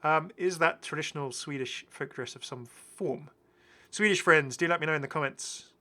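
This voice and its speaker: A man's voice: a little high, moderate pace, sharp tone. A man hosting a gaming video with casual, enthusiastic and chatty commentary. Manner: chatty, prone to chuckling asides.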